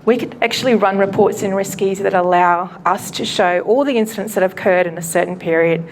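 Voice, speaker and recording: A woman pastor giving a live presentation to an audience at a launch event, loud and chatty, often laughing and poking fun at herself, her microphone cutting in and out. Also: mid-range voice, quick pace, a little hiss or hum, poor audio. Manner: loud, chatty and self-mocking; laughs often while talking. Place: event venue.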